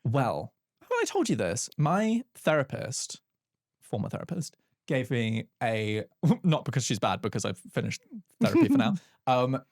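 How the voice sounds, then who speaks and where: A man co-hosting a casual chat podcast, chatty and quick to laugh, trailing off with fillers. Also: mid-range voice, wildly swooping pitch, a little mellow, no echo, clean studio sound. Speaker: podcast co-host.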